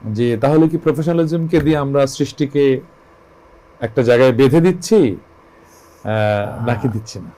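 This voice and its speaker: Male host, Bangladeshi accent, dark relaxed timbre, deliberate conversational questioning. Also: medium pitch, poor audio. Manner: deliberate, builds questions in stages. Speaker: interview show host. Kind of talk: conversational interviewing.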